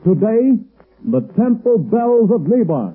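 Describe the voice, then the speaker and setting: A man's voice: high-pitched, very animated, a little slow, faint music behind. A man announcing and reading sponsor copy in scripted 1930s radio style. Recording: muffled, tinny 1930s radio recording.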